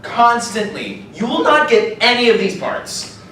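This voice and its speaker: A man's voice: extremely high pitch, unhurried, pushed and bright. A man who acts in voice roles, chatting casually on a convention panel in a joking, self-mocking way. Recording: very echoey noisy hall, distant mic.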